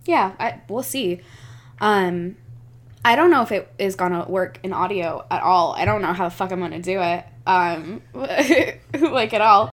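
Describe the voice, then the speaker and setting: A woman with a medium-pitched voice chats casually. She sounds excitable, halting and restarting as she thinks her way through things aloud. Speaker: podcast creator pitching an idea to friends. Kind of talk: casual chat.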